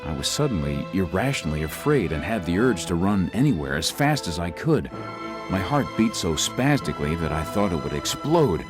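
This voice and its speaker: A male actor as a young lawyer recounts an audio ghost story in a very low voice. His measured, literary delivery swings widely in pitch for drama, growing wary and uneasy. Faint music runs underneath. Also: big pitch swings. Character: young lawyer narrating his eerie stay, uneasy, athletic man. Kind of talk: scripted first-person ghost story narration.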